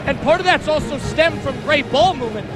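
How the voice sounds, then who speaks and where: Very high-voiced male basketball analyst. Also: animated, fairly quick. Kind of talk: live conversational sports commentary.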